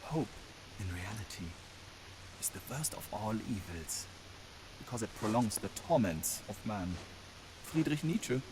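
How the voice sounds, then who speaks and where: A sadistic, gloating military villain for a video game. His delivery is slow and theatrical, with pitch that swings widely and taunting pauses between phrases. There is clear noise behind the voice. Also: expressive. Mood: mocking. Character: sinister gloating male Nazi general, theatrical and sadistic.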